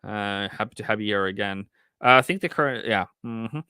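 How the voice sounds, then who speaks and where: A man with a Norwegian accent hosts a live trading stream in a lowish, smooth, clear voice. He thinks aloud, circling back and restarting sentences with plenty of fillers. The sound is close and dry, with no room around it. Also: brisk, sharp tone, no room sound. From Norway. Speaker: trading livestream host. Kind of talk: casual livestream commentary.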